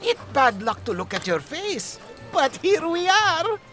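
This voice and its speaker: A woman playing a sassy, mocking, sharp-tongued pirate crew member in an audio drama. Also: very high-pitched, big pitch swings, unhurried, soft background music, full character voice.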